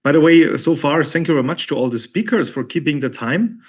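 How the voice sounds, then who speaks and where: A man's voice: a little high, lively intonation, fairly quick, breathy edge. A man chairing a conference session, courteously thanking and introducing speakers in an orderly way. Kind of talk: conference moderation.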